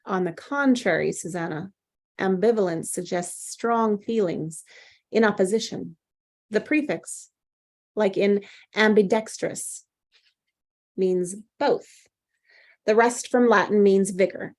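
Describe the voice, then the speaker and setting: A woman playing a composed, probing psychiatrist in a screenplay table read, speaking in a deliberate, clinical way. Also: medium pitch, a little mellow. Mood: calm, authoritative. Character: composed, probing female psychiatrist, coolly authoritative.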